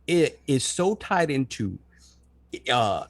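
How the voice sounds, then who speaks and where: A man's voice: mid-range voice, sing-song, slow, no room sound, studio quality. A man hosting a casual gaming podcast, making emphatic points with repeated words and tag questions. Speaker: podcast host.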